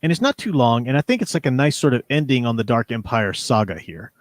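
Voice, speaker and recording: A man's voice: medium pitch, big pitch swings, quick pace, no echo, studio quality. A man hosting a casual livestream book discussion, talking in an enthusiastic, conversational way. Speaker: show host reading chat messages.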